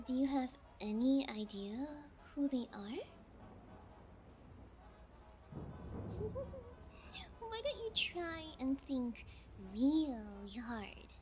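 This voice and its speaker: A woman's voice: high-pitched, a little mellow, heavily acted. A woman playing a sadistic, playful female kidnapper in an intimate ASMR audio roleplay, teasing with a sing-song menace. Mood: mocking, amused.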